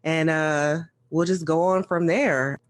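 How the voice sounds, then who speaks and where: A young adult woman hosting a casual podcast chat, relaxed and laughing often. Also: low-pitched, slow pace. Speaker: podcast host.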